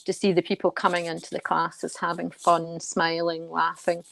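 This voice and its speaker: A woman's voice: on the low side, completely flat delivery, normal speed, vocal fry, a bit bright. A woman speaking as a guest in an informal radio interview, earnest but hesitant, often repeating words as she goes. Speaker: interview guest, charity trustee and volunteer riding coach.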